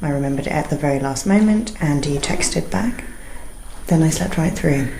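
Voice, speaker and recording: Low-voiced, calculating British wife. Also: female voice, normal speed, vocal fry, warm tone, slight background noise, poor audio. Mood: cold, terse. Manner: terse, businesslike. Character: cold, calculating wife plotting her husband's death. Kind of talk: radio thriller acting.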